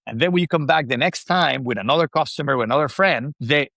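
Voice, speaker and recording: A man's voice: fairly high pitch, quick pace, vocal fry, a bit bright, dry recording, studio quality. A middle-aged man from Venezuela, a native Spanish speaker with a Venezuelan accent, telling stories conversationally as an interview guest. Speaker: interview guest, spirits industry executive.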